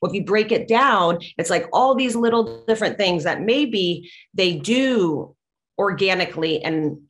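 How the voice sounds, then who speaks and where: A woman's voice: medium pitch, lively intonation, unhurried. A woman who grew up in Latvia, speaking English as a second language in a casual interview, earnest and enthusiastic, with many fillers. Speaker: actress and professional wrestler, interview guest.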